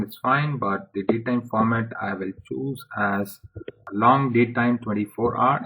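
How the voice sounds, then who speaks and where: A man presenting a software tutorial step by step in an informal way, speaking haltingly in short phrases. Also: fairly low pitch, noisy background.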